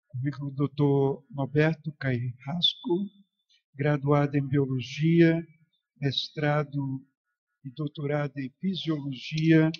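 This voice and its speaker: Slow, even-toned Brazilian man introducing a speaker. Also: on the high side, breathy edge, no room sound, lo-fi sound. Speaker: session moderator introducing speakers. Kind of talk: halting read-out introduction.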